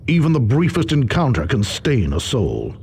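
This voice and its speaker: An actor playing a brooding, cautious warrior sage in a video game, with an ominous, portentous manner. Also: male voice, medium pitch, huge pitch swings, normal speed, vocal fry, darkish tone, breathy edge, exaggerated voice. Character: brooding, cautious space marine psychic sage. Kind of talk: video game character acting.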